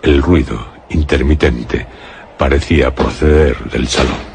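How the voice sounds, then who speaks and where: Extremely deep Castilian male narrator. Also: gravelly, darkish tone, a little hiss or hum. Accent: Castilian Spanish. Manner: grave, measured storytelling. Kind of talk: suspenseful scripted radio drama narration.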